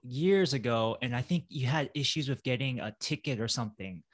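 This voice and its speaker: A man at an ordinary medium pitch hosts a relaxed podcast chat. He talks in a halting way, full of ums, uhs and restarts. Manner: halting, many fillers and restarts. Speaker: podcast host. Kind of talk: casual interview chat.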